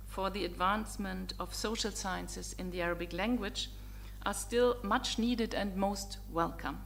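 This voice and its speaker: Woman, German native speaker, middle-aged professor, formal lecture welcome, measured with ums, medium pitch, faint hum. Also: vocal fry. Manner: measured, courteous, with frequent ums and uhs. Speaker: visiting professor. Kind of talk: formal opening address to students.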